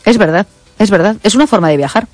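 A woman radio host with a Spanish accent from Spain chats casually with a caller. Her voice sits at a middling pitch in a dry studio sound, and she murmurs along as she listens. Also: expressive, quick pace, no echo, soft background music. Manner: chatty, frequent listening murmurs.

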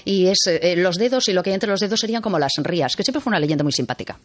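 A woman radio host with a Spanish accent from Spain talks quickly and conversationally. She is chatty and close on the microphone, in a dry studio sound. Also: fairly low pitch, expressive, no room sound. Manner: chatty, interjects short acknowledgements. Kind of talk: conversational late-night radio interview.

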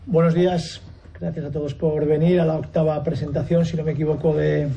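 A man opens a press conference, halting and full of fillers. His voice is gravelly and mellow, with faint room noise behind. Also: a little high, little pitch movement, muffled recording. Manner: hesitant, many fillers. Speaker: football director at a press conference.

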